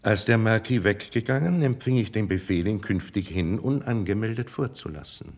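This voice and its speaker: A grave older courtier with a lowish voice. He speaks in the formal manner of a 1950s radio play, deliberate, loyal and deferential. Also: expressive, a little hiss or hum. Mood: solemn, earnest. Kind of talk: classical German radio drama acting, 1950s.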